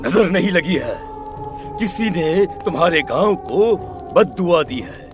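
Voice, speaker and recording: A man's voice: high-pitched, expressive, slow pace, over music. A man playing a grave village occult holy man who gives solemn, ominous warnings in a horror cartoon story.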